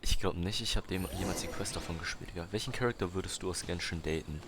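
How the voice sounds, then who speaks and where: A man's voice: low voice, fast, heavy background noise. A seventeen-year-old native German man chatting casually on a game stream, slangy and thinking aloud as he goes. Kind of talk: casual live-stream chat. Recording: loud music under stream voice. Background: loud game music.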